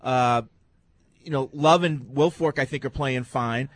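A man's voice: medium pitch, measured pace, no echo. Male host, agreeable, hedges his takes; dry studio sound. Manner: agreeable, hedging. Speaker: radio sports host. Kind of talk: sports talk radio.

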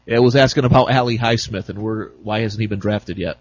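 Low-voiced sports writer with dry asides. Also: male voice, fairly quick. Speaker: sports writer on a talk panel. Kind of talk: casual sports talk. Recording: dull lo-fi sound.

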